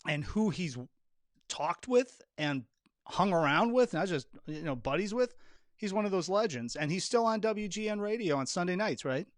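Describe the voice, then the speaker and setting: A man's voice: high-pitched, expressive, moderate pace, dry recording, clean studio sound. A man hosting a podcast, telling anecdotes in an informal, slangy, casual style. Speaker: podcast host.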